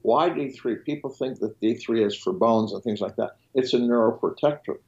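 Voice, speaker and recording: A man's voice: mid-range voice, moderate pace, darkish tone, highs cut off. A physician whose first language is French, explaining in a podcast interview, restarting and listing as he goes. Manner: explanatory, with frequent restarts and trailing lists. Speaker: physician guest.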